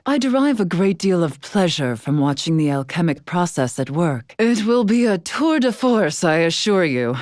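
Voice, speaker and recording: A dignified female warrior-scholar from a video game, with a fairly low voice. She speaks in stately, old-fashioned, carefully formal phrasing. The recording is dry, with no room sound. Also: darkish tone, dry recording. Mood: composed, pleased. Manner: stately, archaic formal diction. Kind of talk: scripted video game character lines.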